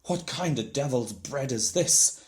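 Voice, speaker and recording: A man's voice: mid-range voice, animated, unhurried, lightly acted. A man voicing a huge, gluttonous bully of a giant in a storybook, bellowing and blustering. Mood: outraged. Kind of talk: storybook character voice.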